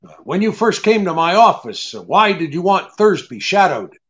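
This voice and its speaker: An actor playing a tough, shrewd private eye in old-time radio drama style, clipped and hard-boiled. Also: male voice, sits high, big pitch swings, normal speed, dull audio. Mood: hard, accusing.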